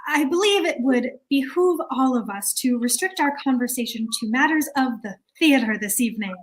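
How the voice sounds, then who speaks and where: A woman's voice: high-pitched, expressive, moderate pace, poor audio. A woman playing a prim, fussy newcomer chairing a committee in a comic play reading, speaking with formal over-politeness. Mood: prim, firm.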